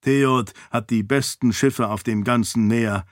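A man's voice: mid-range voice, steady tone, a little slow, no echo, clean studio sound, close to an everyday voice. A man playing a stern, protective king and father. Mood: grim.

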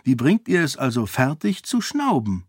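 A man voicing a witty, cheeky young noblewoman in an audiobook, playful and sharp-tongued. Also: mid-range voice, wildly swooping pitch, normal speed, breathy edge, no room sound, clean studio sound. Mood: teasing.

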